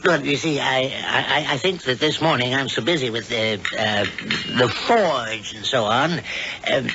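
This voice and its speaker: An actor playing a sinister middle-aged warlock who poses as a kindly uncle, grandiose and fussily avuncular, in 1970s radio drama style. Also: male voice, medium pitch, a little slow, bright, forceful tone. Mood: flustered. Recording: muffled, noisy vintage radio broadcast.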